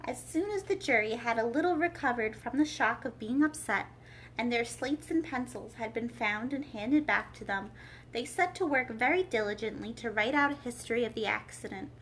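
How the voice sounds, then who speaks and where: A woman narrating a children's storybook aloud as a library storyteller, in an expressive read-aloud style. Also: fairly high pitch, moderate pace, slight background noise, low-quality recording.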